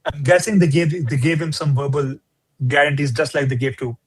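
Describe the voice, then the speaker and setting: Fast excitable man, slightly high. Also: breathy edge, no echo, poor audio. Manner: excitable, stammering, says "bro". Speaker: football podcast panelist, Barcelona fan. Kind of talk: casual group football debate.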